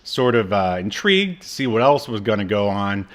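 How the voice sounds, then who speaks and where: A man's voice: on the low side, sing-song, normal speed. A man co-hosting a podcast, casually recapping a TV episode in a rambling way, with lots of ums and uhs. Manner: rambling, many ums and uhs, acts out characters in quotes. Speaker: podcast co-host.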